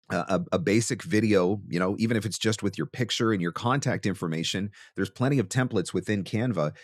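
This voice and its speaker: Low-voiced man, dry close sound. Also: dry recording. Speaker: host, voice actor teaching marketing. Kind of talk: instructional monologue to camera.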